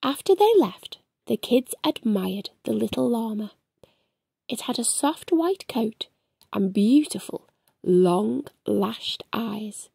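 A middle-aged woman hosting a children's storytime, reading aloud in an expressive, sing-song way. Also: fairly high pitch, takes it slowly, creaky, husky, dry recording, clean studio sound. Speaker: children's storytime host and reader. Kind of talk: animated read-aloud for young children. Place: small furnished room at home.

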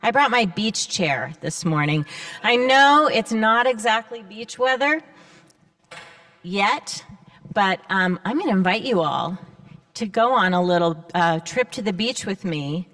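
An older woman leading worship in a church, guiding all ages gently through imagery in an unhurried way. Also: mid-range voice, animated. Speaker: worship leader. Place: large church sanctuary. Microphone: handheld microphone.

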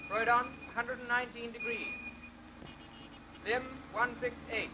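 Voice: medium pitch, little pitch movement, measured pace. An air traffic radio operator making formal, clipped calls over a radio transmission. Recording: very noisy old archival film soundtrack.

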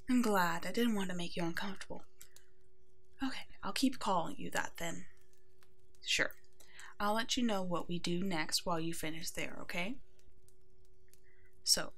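A woman playing a kind, protective female werewolf alpha in an ASMR roleplay, speaking in a gentle, nurturing way that often slips toward a whisper. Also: medium pitch. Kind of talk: ASMR roleplay acting, tender and soothing.

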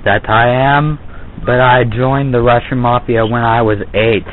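A man putting on a menacing Russian mafia man for a prank phone call, making flat, slow, deadpan threats over a tinny phone line. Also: mid-range voice, lightly acted. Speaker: prank caller.

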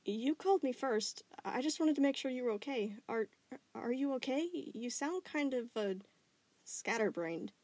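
A gentle young woman speaks hesitantly, full of concern, as a woman puts her on. Her voice is a touch higher than the narration, recorded dry and close. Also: animated, normal speed, no room sound.

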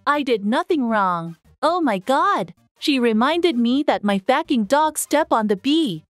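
Snappy high-voiced teen girl acted by a woman. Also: lively intonation, unhurried, no room sound. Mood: snide, offended. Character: snappy, scornful sixteen-year-old girlfriend, easily offended. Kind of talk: dramatised online roleplay story.

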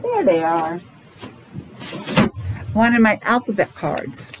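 A middle-aged woman teaching young children in simple, deliberate phrases with chatty asides, speaking through a face mask. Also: mid-range voice, very animated, background noise. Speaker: preschool teacher. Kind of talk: children's classroom lesson. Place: small indoor classroom.

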